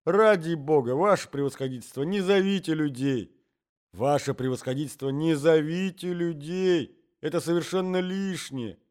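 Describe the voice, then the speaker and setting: A man's voice: a little high, animated, normal speed, a little mellow, close to an everyday voice. Man acting a flustered, whining, pleading wretch. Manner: whining, babbling, obsequious. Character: pleading, flustered, hapless man caught hiding.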